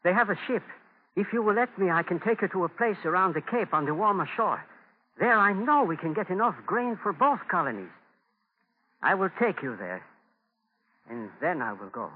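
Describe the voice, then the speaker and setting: An actor playing a gentle, earnest, devout Native guide with solemn, measured phrasing in a 1940s radio drama. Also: male voice, high-pitched, expressive. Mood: earnest, resolute. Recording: noisy, tinny 1940 radio broadcast.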